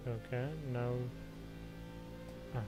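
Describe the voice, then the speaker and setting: A man mutters offhand remarks while playing a game. His voice sits in a middle range. Loud game music plays over it. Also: medium pitch, flat delivery, very slow. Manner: mumbled, offhand remarks to himself. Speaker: gameplay streamer. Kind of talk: casual commentary over gameplay.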